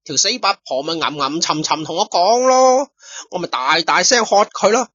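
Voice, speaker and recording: A man's voice: high-pitched, big pitch swings, moderate pace, pushed and bright, husky, no room sound, full character voice. A man voicing a crude, boastful young street punk who brags and cackles. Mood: smug. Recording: dull lo-fi recording.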